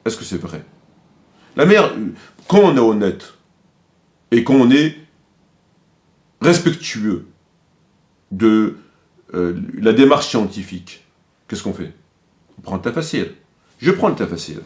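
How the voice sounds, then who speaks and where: Male religious commentator, lower register, polemical and emphatic, halting off-the-cuff delivery with rhetorical questions. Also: big pitch swings, darkish tone. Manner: emphatic, rhetorical questions, repeats phrases for stress. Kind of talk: polemical religious lecture, extemporaneous.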